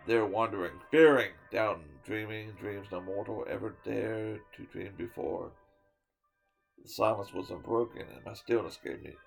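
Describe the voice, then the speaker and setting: A man's voice: fairly low pitch, creaky, low-quality recording. Theatrical man reading a poem. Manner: theatrical, swinging from loud to hushed; stumbles and restarts words now and then. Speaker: storyteller reading a poem aloud. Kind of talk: dramatic poetry reading.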